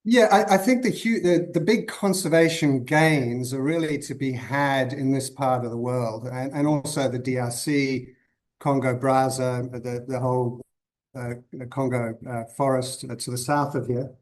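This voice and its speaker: A man in his fifties from Zimbabwe, a guest on a podcast interview, answering thoughtfully with many pauses and restarts. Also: mid-range voice, steady tone. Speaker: guest conservationist.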